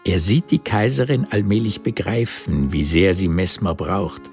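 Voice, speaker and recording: Male narrator for literary audio drama, deep register, measured scripted delivery, faint music behind. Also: darkish tone. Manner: measured, terse present-tense storytelling.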